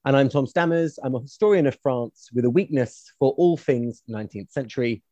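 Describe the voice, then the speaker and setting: A man's voice: medium pitch, a little fast, mellow, no echo, dull audio. A middle-aged man co-hosting a casual critical discussion in an erudite, opinionated way. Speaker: podcast co-host, historian.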